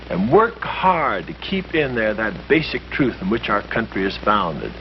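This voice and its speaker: A man explaining history to friends in earnest, emphatic scripted dialogue from a 1950s film. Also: medium pitch. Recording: muffled old film soundtrack with faint hiss.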